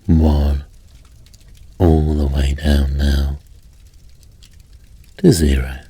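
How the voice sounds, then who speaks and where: A man guiding sleep hypnosis in a soft, breathy voice, calm and gentle, with measured pauses. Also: extremely low pitch, dry recording. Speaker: hypnosis and meditation host. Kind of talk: guided sleep hypnosis, slow soothing narration.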